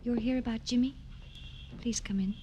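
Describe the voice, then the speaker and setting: An actress playing a gentle, devoted young woman in grief, tender and theatrical in the manner of old radio melodrama. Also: on the high side, fairly even intonation, normal speed, natural voice. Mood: subdued. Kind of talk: 1950s radio melodrama acting. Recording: slightly noisy vintage radio recording.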